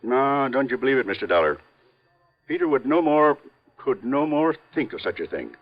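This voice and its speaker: An earnest American family lawyer in an old-time radio play, heard through a muffled vintage recording. He speaks formally and firmly, loyal to his client, in a medium-pitched voice. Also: big pitch swings. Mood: firm, insistent. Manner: measured, formal, emphatic. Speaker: actor. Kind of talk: 1950s American radio drama acting.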